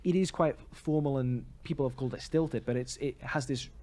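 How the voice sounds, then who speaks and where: Mellow, opinionated male podcast co-host. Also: medium pitch, brisk. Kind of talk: casual discussion and commentary on theatre.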